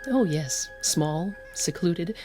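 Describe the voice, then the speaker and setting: A woman's voice: fairly low pitch, expressive, slow pace, warm tone, soft background music. A woman playing a composed, devoted wife and mother in a radio drama, formal and firm in manner. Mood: polite.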